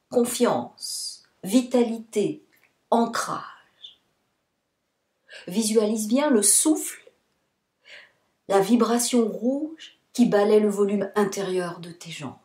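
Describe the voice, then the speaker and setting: A woman leads a guided relaxation as a yoga nidra teacher. Her delivery is very unhurried and soothing, with short phrases set apart by pauses. The voice is mellow and soft-edged, in a roomy, echoing sound. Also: on the high side. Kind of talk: guided relaxation meditation, spoken instructions.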